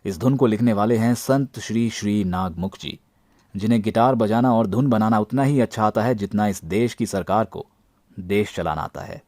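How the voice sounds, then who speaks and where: An Indian man narrating a gadget review as its presenter, with a deadpan, tongue-in-cheek delivery. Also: on the low side, moderate pace, dry recording.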